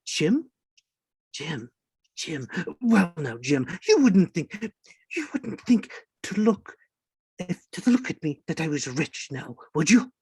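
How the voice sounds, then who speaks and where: Old marooned pirate, husky and breathy, slow rambling delivery, eager and sly, radio drama. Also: mid-range voice, very animated, a little mellow, dry recording, full character voice. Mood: sly, gleeful. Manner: rambling, repetitive, cackling, rustic sailor speech. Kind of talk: radio play acting. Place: indoors, remote online reading. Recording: dry video-call recording.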